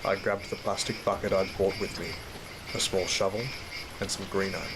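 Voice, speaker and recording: Man acting as low-voiced weary Australian father, narrating. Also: flat delivery, moderate pace, close to an everyday voice. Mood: weary, brooding. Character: weary, troubled working father narrating his grim job. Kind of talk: dramatic first-person narration in an audio drama. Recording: very noisy track, rain and storm ambience.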